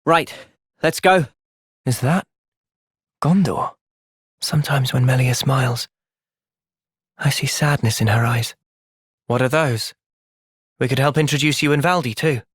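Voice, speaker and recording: A young man acting an earnest, thoughtful soldier hero in a video game cutscene, speaking in a measured, sincere way. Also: mid-range voice, breathy edge, no room sound, studio quality. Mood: calm, pensive.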